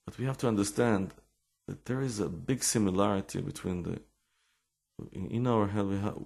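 A middle-aged Israeli man with an accent, telling his life story in halting English full of restarts and fillers. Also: on the low side, creaky, a little mellow. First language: Hebrew. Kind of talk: personal storytelling and explanation.

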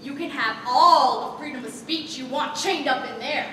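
A teenage girl playing a tyrannical, threatening enforcer in a high school stage comedy. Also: sharp tone. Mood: menacing. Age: teens. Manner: deliberate, threatening, bombastic. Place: large theater stage, filmed from the audience. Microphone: far camera. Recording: far-off echoey auditorium, noisy.

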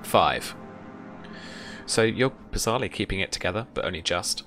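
A young man running a tabletop roleplaying game, narrating and talking through rules casually in a halting, repetitive way. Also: low-pitched, expressive, music in the background. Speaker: game master. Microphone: headset or desk mic, seated indoors on a video call.

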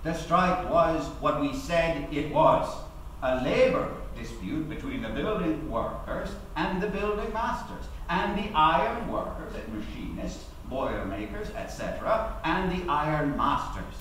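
Middle-aged guy acting as a proud old-school labour activist giving a speech on stage, formal and dramatic, higher-ish voice, super echoey hall. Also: animated, a bit bright. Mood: emphatic, proud. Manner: formal, declamatory, deliberate, with emphatic pauses. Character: proud, eloquent male labour activist recalling his trial. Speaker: actor giving a dramatic reading. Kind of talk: theatrical courtroom-style oratory at a lectern. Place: large auditorium, at a lectern. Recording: very echoey hall, distant mic, faint noise.

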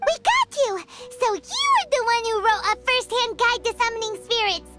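A tiny chatty fairy girl in a video game, her squeaky voice leaping wildly up and down as she exclaims. Very high and bouncy, with loud music playing clearly underneath. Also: sing-song. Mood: accusing. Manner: exclaims and accuses in quick bursts. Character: tiny excitable female fairy companion, chatty.

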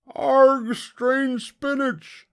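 A man voicing a rowdy storybook pirate crew, loud and exaggerated. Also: very high-pitched, lively intonation, extremely slow, vocal fry, voice pushed up, full character voice. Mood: wailing dismay. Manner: loud, exaggerated echoing of the captain. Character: rowdy crew of male pirates shouting as one. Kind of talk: storybook character voice.

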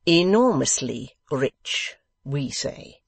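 A reader voicing a pompous, condescending English gentleman host who talks slowly, loudly and overenunciates as if to a deaf child. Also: high voice, sing-song, no room sound, voice pushed up, heavily acted.

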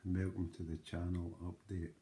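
A man's voice: low-pitched, little pitch movement, dark tone. A Scottish man in his forties, talking earnestly to camera as a channel host in a small room, emphatic and often checking in with "right?". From Scotland. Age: 40s. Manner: slow, emphatic, with frequent "right?" asides. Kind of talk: earnest motivational talk to camera. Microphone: webcam or phone close up.

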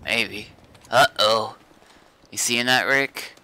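A man's voice: on the low side, slow, bright, forceful tone. A man talking casually in short, laconic replies while playing an online roleplay game with others. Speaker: player in an online zombie survival roleplay game.

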